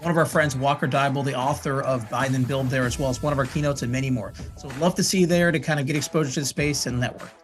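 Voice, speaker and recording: A man's voice: medium pitch, fairly even intonation, quick pace, hardly any pauses, soft background music. A man co-hosting a business podcast, asking long rambling questions with many "right?"s and "you know"s. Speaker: podcast co-host. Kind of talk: conversational podcast interviewing.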